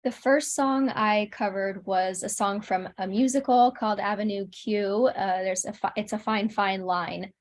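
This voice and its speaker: A woman's voice: mid-range voice, fairly even intonation, measured pace, few pauses. A woman speaking as an interview guest in a casual, reflective and agreeable conversational way.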